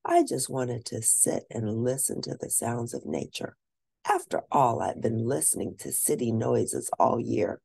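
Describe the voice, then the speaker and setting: A woman reading a children's book aloud as an expressive storyteller, stressing and stretching words for drama. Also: a bass voice, creaky, slightly rough. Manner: animated, dramatic emphasis with drawn-out words.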